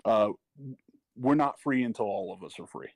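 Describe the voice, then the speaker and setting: A middle-aged man speaking personally and earnestly, haltingly searching for his words. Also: lowish voice, dry recording. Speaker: parent speaking as a panel guest. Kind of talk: personal testimony in casual talk.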